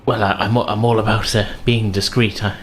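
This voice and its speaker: Discreet tech runner for tabletop game, acted by a man: male, lowish, courteous, hesitant; slight noise behind. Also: flat delivery, brisk, lightly acted. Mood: polite, uneasy. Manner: hesitant, polite, nervously chuckling. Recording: faint background noise.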